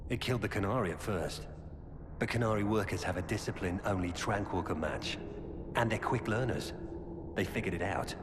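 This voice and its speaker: An actor playing a weary, earnest former knight turned defector, giving grave, urgent warnings in a video game. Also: male voice, on the low side, moderate pace, natural voice. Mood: grim, resolute. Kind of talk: dramatic video game dialogue. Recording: cavernous reverb with loud background noise.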